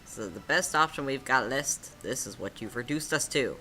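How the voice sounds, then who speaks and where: A woman's voice: a bass voice, expressive, moderate pace, pushed and bright, slight background noise. A woman reading a choice-based story game aloud as host, with casual, playful, sardonic banter. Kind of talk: casual playthrough narration with banter. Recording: music playing behind the voice.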